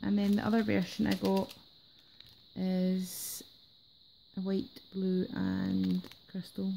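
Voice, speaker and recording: A woman talking while she is sick, her voice gravelly and very dark. She chats cheerfully through an unboxing of craft items at a table. A faint hiss of background noise sits under her voice. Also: medium pitch, completely flat delivery, slow pace, low-quality recording. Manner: chatty, enthusiastic about items. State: sick, voice affected. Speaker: planner unboxing vlogger. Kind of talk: casual unboxing chat. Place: indoors at a table. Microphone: camera filming her hands from above.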